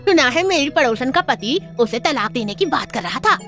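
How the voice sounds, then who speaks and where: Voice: sits high, very animated, normal speed, pushed and bright, soft background music, heavily acted. A performer voicing a gleeful, nosy village gossip woman in a cartoon story.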